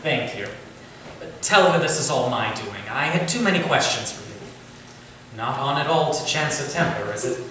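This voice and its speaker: An actor playing a genial, courtly Victorian gentleman writer with a formal, eloquent, theatrical way of talking, in a staged reading. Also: mid-range voice, animated, normal speed. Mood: polite. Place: indoor staged reading, distant microphone. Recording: very echoey room from far off, faint noise.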